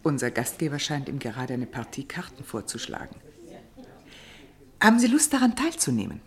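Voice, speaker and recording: An actress playing a cautious, gossipy society lady in a radio play, with a refined, confiding and expressive manner. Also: extremely low pitch, normal speed, full character voice. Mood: teasing, curious. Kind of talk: German radio play dialogue.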